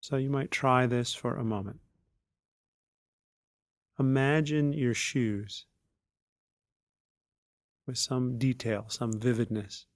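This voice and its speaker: A man leading a guided meditation as a teacher, speaking in unhurried, measured phrases with long pauses. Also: mid-range voice, steady tone, no room sound, clean studio sound.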